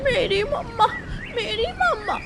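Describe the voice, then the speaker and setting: A woman's voice: extremely high pitch, animated, slow pace, noisy background, full character voice. A woman voicing a small young crow boy in a cartoon story, whiny and childlike. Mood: upset. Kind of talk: Hindi cartoon story voice acting.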